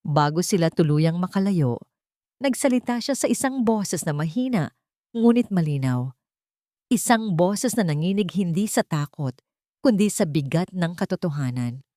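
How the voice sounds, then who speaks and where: A woman narrating a scripted drama story in an expressive, suspenseful way. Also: fairly low pitch, moderate pace, no echo, clean studio sound.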